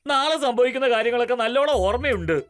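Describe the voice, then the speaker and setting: A man's voice: extremely high pitch, quick pace, a bit bright. A man voicing a comical, exasperated male sidekick in a children's cartoon, exclaiming and complaining. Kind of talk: children's cartoon dubbing in Malayalam. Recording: clear music behind the voice.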